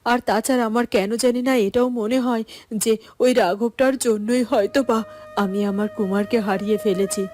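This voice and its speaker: A woman voicing an anxious, suspicious queen in a cartoon, fretful and haltingly repeating her words. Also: a little high, steady tone, darkish tone, no echo, clean studio sound, natural voice. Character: anxious, suspicious queen, protective mother of a prince. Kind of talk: Bengali cartoon voice acting.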